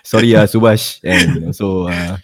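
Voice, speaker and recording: A man's voice: deep voice, fairly even intonation, normal speed. A man with a Singaporean English accent co-hosting a casual podcast, teasing the others and laughing often. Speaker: podcast co-host.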